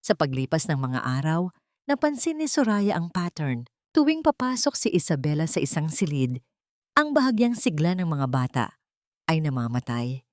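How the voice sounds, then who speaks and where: A woman's voice: deep voice, sing-song, moderate pace, no room sound. A woman narrating a scripted story in a dramatic style.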